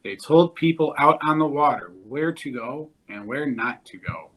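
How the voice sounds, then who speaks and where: A man reading a children's nonfiction book aloud in a measured, deliberate way. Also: mid-range voice, lively intonation, a bit bright, poor audio.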